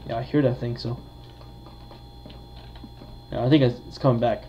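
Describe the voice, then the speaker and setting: A dull, lo-fi recording with faint noise carries a man commentating on a game he is playing. He talks casually and haltingly, pausing often as he goes. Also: mid-range voice, fairly even intonation, mellow. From United States. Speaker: gamer, video creator.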